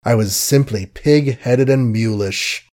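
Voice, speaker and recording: A man doing a lovesick, self-reproaching young gentleman. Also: mid-range voice, slow pace, close to an everyday voice. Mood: desperate. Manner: impassioned, desperate, self-mocking. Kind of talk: audiobook character voice.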